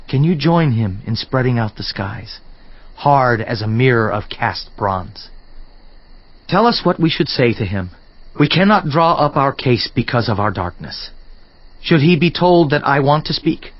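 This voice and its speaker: An earnest biblical orator argues for the majesty of God with reverence, in a mid-pitched voice. It is dramatized scripture audio with a slightly dull sound. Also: male voice. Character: earnest man preaching God's awesome majesty in debate.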